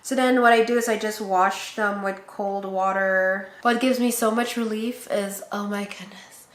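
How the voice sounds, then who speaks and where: A woman's voice: mid-range voice, steady tone, measured pace. A woman with a Filipino accent chatting casually with her viewers, laughing often and teasing herself. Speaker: pregnancy vlogger. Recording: roomy echo.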